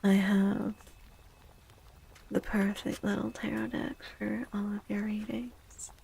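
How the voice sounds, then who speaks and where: Slow, breathy soft-spoken ASMR woman. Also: mid-range voice, level tone, rough recording. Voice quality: breathy, often dropping into a whisper. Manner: gentle, slow, drawn-out phrasing. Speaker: livestream host doing tarot readings. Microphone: close microphone.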